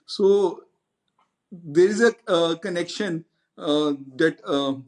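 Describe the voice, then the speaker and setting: A man's voice: high voice, takes it slowly. A man speaking hesitantly as a panel guest, with many fillers. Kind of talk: panel discussion.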